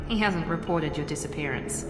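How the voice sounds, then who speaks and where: A woman plays a composed, practical soldier's companion in a sci-fi game. Her voice is lowish and dry, ringing in a hall with music. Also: normal speed, close to an everyday voice. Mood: cool, dry. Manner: formal, dry, matter-of-fact. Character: cool, composed female squadmate, dryly practical. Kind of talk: video game voice acting. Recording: echoey reverberant hall, music behind.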